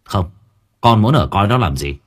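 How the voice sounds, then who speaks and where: Audio-story role: stubborn, defiant young male labourer played by a man, low rough-edged voice, lively swings, challenging manner. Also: normal speed. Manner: curt, challenging, irritable.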